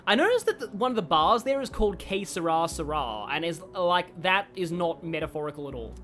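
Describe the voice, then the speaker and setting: A woman's voice: on the low side, very animated, a little fast, a bit bright. A woman giving casual, rambling live commentary on a game, chatty and wry. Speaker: game streamer. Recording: game music behind.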